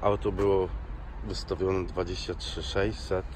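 Deep-voiced man, noisy outdoor recording. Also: little pitch movement, unhurried, darkish tone. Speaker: car import agent presenting cars. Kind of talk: casual spoken vlog commentary at a car lot. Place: outdoor car dealership lot, sometimes inside a car. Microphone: handheld phone filming, speaker off camera. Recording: outdoor car lot noise, handheld camera. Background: clear urban outdoor and vehicle noise.

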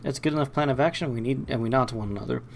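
A man's voice: medium pitch, rapid-fire, gravelly, soft background music. A man hosting a let's play, reading game narration aloud with short asides.

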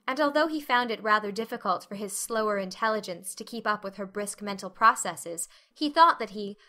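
A woman's voice: mid-range voice, expressive, fairly quick, sharp tone. A woman narrating a novel as a scripted, dramatic audiobook reading.

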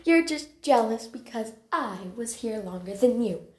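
A woman's voice: a little high, lively intonation, unhurried. A woman voicing a cocky, boastful young warrior cat in amateur character voice lines, with a dramatic, teasing manner. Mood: taunting. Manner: bragging, taunting, dramatic. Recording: roomy home recording.

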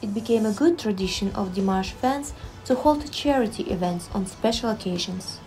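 A woman's voice: mid-range voice, normal speed, warm tone, faint music behind, rough recording. A woman reading scripted narration for a news feature video.

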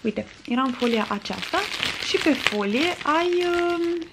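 A woman's voice: sits high, animated, very noisy. A woman in her twenties from Romania, a vlogger chatting to camera in a casual, rambling, conversational way. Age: 20s. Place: small furnished room at home, indoors.

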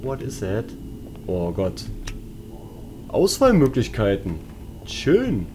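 A man with a fairly low voice commentates on a horror game. He chats casually and colloquially, joking with himself and his viewers. There is clear noise and game ambience behind him. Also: huge pitch swings, slow pace. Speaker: let's player.

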